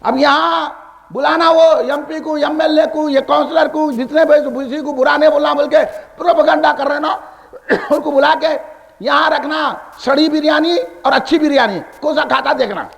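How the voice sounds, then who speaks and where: A man preaches in a Hyderabadi Deccani accent, his voice pitched extremely high with a gravelly edge. He is combative and emphatic, repeating phrases and firing off rhetorical questions in a fiery sermon style. Long hall reverb trails his amplified words. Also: steady tone.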